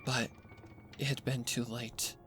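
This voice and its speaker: A man voicing a young female pony in a horror story, traumatized and fragile, speaking haltingly, with music behind. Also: medium pitch, little pitch movement, fairly bright tone. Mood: shaken grief. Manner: halting, repeating words.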